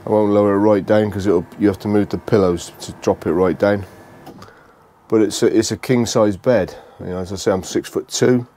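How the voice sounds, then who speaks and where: A British man giving a casual, unscripted walkthrough tour, speaking haltingly and repeating phrases as he goes. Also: on the low side, fairly even intonation, mellow, slight background noise. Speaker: vlogger giving a motorhome tour.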